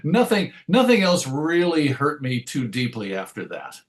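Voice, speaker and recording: A man's voice: a little high, huge pitch swings, a little slow. A middle-aged man answering interview questions in a conversational way, reminiscing with wry, self-deprecating humor. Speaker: interview guest.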